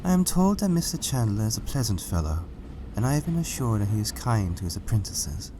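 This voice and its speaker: A man voicing a gentle, reassuring pegasus mare, a proud mother, in an earnest storybook reading. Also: on the low side, very animated, fairly quick, noisy background, pitched above the performer's own voice. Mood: earnest, encouraging. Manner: soothing, earnest, encouraging.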